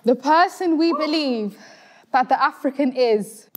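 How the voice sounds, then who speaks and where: A woman chatting casually and in a lively way as a participant on a game show. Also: sits high, unhurried. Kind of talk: lively casual group chat.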